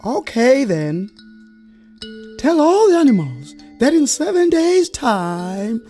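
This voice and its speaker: A woman's voice: high voice, sing-song, takes it slowly, dark tone, soft background music, full character voice. A woman with a South African accent playing a stately, commanding creator spirit in children's audio theatre. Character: stately female creator spirit giving orders.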